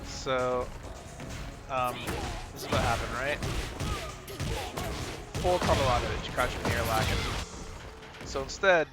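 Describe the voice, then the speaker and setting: A man with an average-pitched voice talks through a game replay as a casual coach. He thinks aloud, informal and a little hesitant, often repeating phrases. Very loud game music and fighting sounds play behind him. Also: measured pace, pauses often. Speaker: gaming video creator giving match analysis. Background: loud fighting game music and combat effects.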